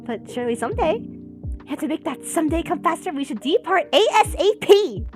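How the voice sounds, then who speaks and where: An eager visual novel sidekick, acted by a woman. The voice is pitched up high and bubbly, with emphatic, excitable phrasing. Soft music sits behind it. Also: brisk. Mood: excited. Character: eager, excitable shop companion chasing a big dream.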